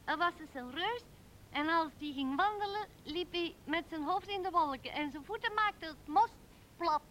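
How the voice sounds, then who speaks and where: Een vrouw speelt een dromerig, opschepperig klein meisje dat kinderlijk en zangerig vertelt in een hoorspel. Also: female voice, sharp tone, full character voice. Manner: childlike, singsong, giggly. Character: dreamy, boastful little girl in love with a giant. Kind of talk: radio drama storytelling. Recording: noisy old radio recording with rumble.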